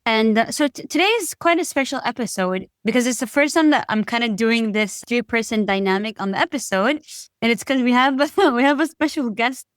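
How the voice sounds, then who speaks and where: Enthusiastic female podcast host, slightly high voice, dry close recording. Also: fairly quick, no room sound. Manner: enthusiastic, upbeat, laughs easily. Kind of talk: casual interview hosting.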